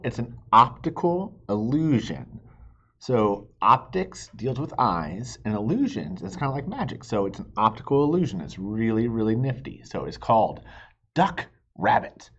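A man's voice: medium pitch, big pitch swings, moderate pace, a bit bright, slightly rough, dull audio. A man in his thirties hosting a picture-book read-aloud, explaining things simply to young viewers. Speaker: children's read-aloud host.

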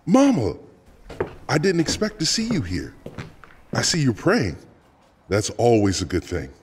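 An actor playing a weary, devoted middle-aged priest and gentle son, speaking earnestly and plainly in an audio drama. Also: male voice, mid-range voice, huge pitch swings, measured pace, slight background noise. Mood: pleasantly surprised. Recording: loud music behind the voice.

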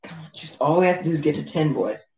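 Higher-voiced young man in an echoey room. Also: expressive, fast, muffled recording, slight background noise. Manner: teasing, slangy banter. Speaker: livestream co-host. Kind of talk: casual chat. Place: living room at a table, indoors.

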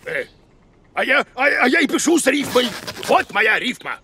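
A man voicing a blustering, vain, grumpy monster teacher in a children's cartoon, exclamatory and pompous with a gravelly edge. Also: very high-pitched, very animated, moderate pace, pushed and bright, slight background noise, full character voice. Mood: pompous, grumbling. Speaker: comic teacher.

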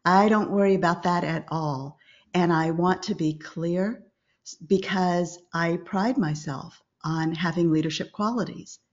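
An older woman, a business coach, speaking calmly and instructively as a guest in a video-call interview. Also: on the low side. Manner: calm, measured and instructive. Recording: slightly dull video-call audio.

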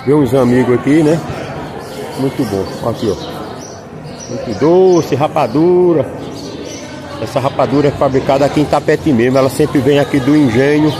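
A man's voice: medium pitch, normal speed, long pauses. A man filming a casual walking vlog at an outdoor market, chatty and repeating his phrases like a hawker. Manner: chatty, hawker-like repetitions, calls people "pai". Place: outdoor covered street market. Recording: busy market crowd behind.

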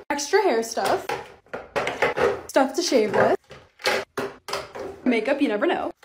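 Bubbly, lively young woman vlogger. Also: high voice, noisy background. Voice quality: raspy. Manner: bubbly, excitable, gushing. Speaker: lifestyle vlogger. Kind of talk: casual vlog voice-over.

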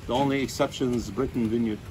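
A man's voice: mid-range voice, fairly even intonation, fast talker. A man in his sixties, originally from Lebanon, casually telling visitors about his winery on an outdoor patio. He talks plainly, rambles through asides and pokes fun at himself. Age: 60s. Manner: plain-spoken, self-mocking, rambling with asides. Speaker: winemaker hosting a tasting. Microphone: phone camera a few meters away. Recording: open-air phone recording with background noise.

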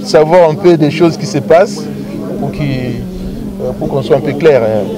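Middle aged congolese guy getting interviewed outside, bit high voiced, keeps hesitating, loud chatter all around. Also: poor audio. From Democratic Republic of the Congo. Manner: hesitant, halting, repeats words. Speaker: interview guest, deputy mayor. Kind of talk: informal on-camera interview. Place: outdoors in a grassy garden, seated. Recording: outdoor recording with people chattering.

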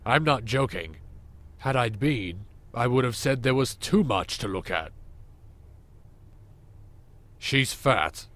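A man acting as a crude male boss in an audio drama. He speaks in a deadpan, sarcastic way at a middling male pitch, like someone worn down by his marriage. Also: slow, long pauses.

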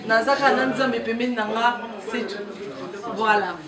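A woman's voice: fairly high pitch, fairly even intonation, measured pace. Congolese woman speaking amid a noisy crowd. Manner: warm thanking, mixing French and Lingala. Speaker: foundation head visiting a maternity ward. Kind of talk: spontaneous address to staff and mothers. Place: crowded hospital ward, indoors. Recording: echoey ward, mic far off, loud crowd. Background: loud crowd chatter.

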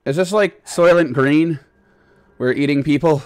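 A man's voice: fairly high pitch, a little slow, darkish tone. A man chatting casually while playing a co-op game, joking and rambling with lots of "like". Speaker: gamer.

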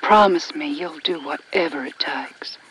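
A woman playing a sweetly menacing elderly cult elder in a video game, speaking in a soft, breathy, honeyed manner. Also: low-pitched, a little slow, slight background noise. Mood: quietly menacing. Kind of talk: video game cutscene acting.

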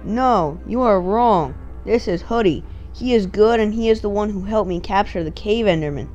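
A woman's voice: mid-range voice, moderate pace, a little mellow, dull audio, faint music behind, natural voice. A woman voicing an earnest, brave young man, a loyal and firm village hero in a children's story. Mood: firm, defending.

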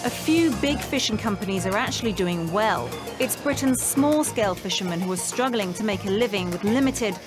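A woman delivers scripted documentary narration as a news reporter. Her pitch sits in the middle range for a woman, and music plays clearly behind her. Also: expressive, moderate pace, hardly any pauses. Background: background music.

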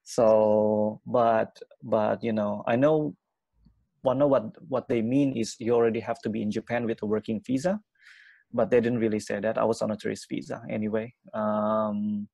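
A young man from Indonesia, an English teacher, answering interview questions quickly with many fillers and restarts. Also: fairly low pitch, steady tone, vocal fry, warm tone, no echo. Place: small room at home.

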